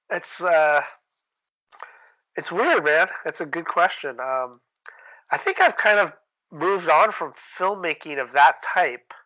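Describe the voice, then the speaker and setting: A man talking casually as an interview guest, candid and laid-back, with plenty of slang, on low-quality audio. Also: slow, pauses often, sharp tone. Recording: dull lo-fi call-quality audio.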